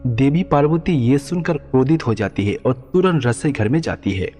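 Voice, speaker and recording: A man's voice: medium pitch, expressive, moderate pace, dark tone. A man narrating a scripted devotional storybook tale as the narrator. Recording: long reverb, background music.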